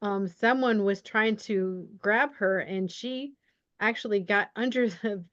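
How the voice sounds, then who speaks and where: Halting woman host, dull dry audio. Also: medium pitch, fairly bright tone, dry recording. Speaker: podcast host. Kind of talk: casual conversational interviewing.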